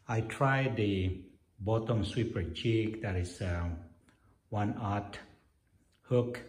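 A middle-aged man calmly walking through his fishing tackle and a recipe, talking matter-of-factly with frequent pauses. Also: on the low side. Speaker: fishing channel host. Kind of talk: casual fishing and cooking vlog narration. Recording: voice-over with clear guitar music behind.

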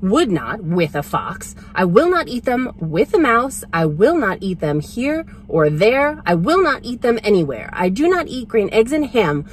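A woman's voice: on the high side, big pitch swings, a little slow, hardly any pauses, slight background noise. A woman voicing a grumpy, stubborn male picky eater in emphatic, sing-song rhymed refusals. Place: inside a parked vehicle.

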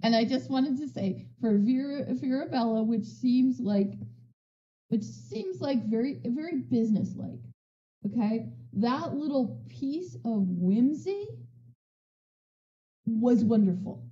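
A woman speaking on a conference panel in a casual, excitable way, restarting her sentences often and laughing. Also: fairly high pitch, takes it slowly, muffled recording. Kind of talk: conversational panel talk. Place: virtual world session via voice chat.

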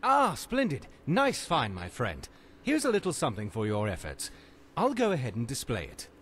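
A man's voice: medium pitch, huge pitch swings, normal speed, a bit bright, a little hiss or hum. An actor playing a courteous, pleased male scholar in a fantasy game, with a genteel, theatrical manner.

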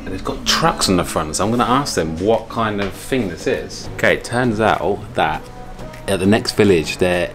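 A young man chatting on a travel vlog to a handheld camera, upbeat and chatty. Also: deep voice. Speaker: travel vlogger. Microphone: handheld camera at arm's length. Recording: loud background music under voice.

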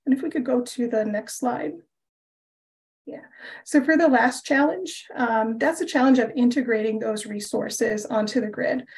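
Woman, fairly high mellow voice, organized slide presentation. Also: vocal fry, low-quality recording. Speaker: panelist, utility representative.

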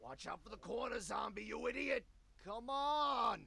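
A man's voice: extremely high pitch, lively intonation, measured pace, vocal fry, sharp tone, breathy edge, heavily acted. A man voicing a grumpy, foul-mouthed, bossy rabbit in his sixties for a cartoon adventure game. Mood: agitated. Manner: sweary, bossy, slangy. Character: grumpy foul-mouthed 63-year-old cartoon rabbit, scheming salesman, male. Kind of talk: voiced game dialogue.

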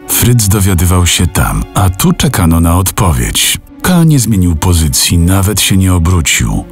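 Male narrator for a classic novel: lowish voice, highly varied intonation, careful diction, faint music underneath. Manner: measured, deliberate diction. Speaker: audiobook narrator, voice actor. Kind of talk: dramatic scripted literary narration.

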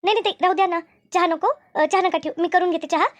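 A woman's voice: very high-pitched, fairly even intonation, quick pace, no echo. A woman voicing an anxious, deferential young working daughter-in-law in a cartoon family drama, speaking colloquially.

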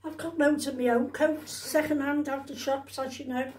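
A woman's voice: high voice, steady tone, moderate pace, a little mellow, a little hiss or hum. A woman chats casually at home, rambling and repeating herself, often tacking little questions onto the ends of her remarks. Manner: chatty, repetitive, with trailing tag questions. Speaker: vlogger showing shopping haul. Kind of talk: casual rambling chat to camera. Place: indoors at home. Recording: roomy, echoey home recording.

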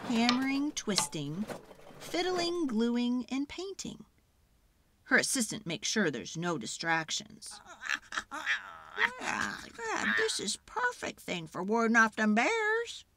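A woman narrating a children's storybook in a dramatic, expressive and deliberate way. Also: on the high side. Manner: expressive, deliberate, acts out sounds and feelings. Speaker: children's book read-aloud narrator.

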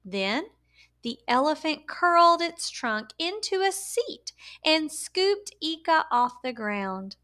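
A woman reads a children's story aloud in a high voice. Her intonation swings widely and expressively. She goes slowly and deliberately, giving words emphasis for young listeners. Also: very animated, pushed and bright. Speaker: storyteller reading a picture book aloud.

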